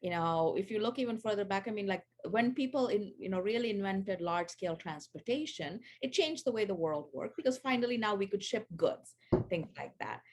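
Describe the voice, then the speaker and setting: A woman's voice: medium pitch, lively intonation, brisk, a little hiss or hum. A woman in her forties from Sri Lanka, chatting as an interview guest with lots of fillers and restarts. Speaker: guest, AI education founder. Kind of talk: casual interview over video call.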